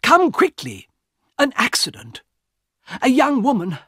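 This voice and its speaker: A man putting on a panicky, high-strung passenger. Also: wildly swooping pitch, unhurried, sharp tone, husky, no echo, clean studio sound, exaggerated voice. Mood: panicked. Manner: panicky, breathless pleading. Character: frightened middle-aged male train passenger. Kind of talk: audiobook character voice.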